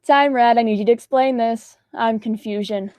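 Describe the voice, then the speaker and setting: Cheeky Gen Z kid played by a teenage girl, slangy and flippant, high-pitched, dry recording. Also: takes it slowly, a little mellow, dry recording. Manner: flippant, slangy, theatrical gasps. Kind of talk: school radio play acting.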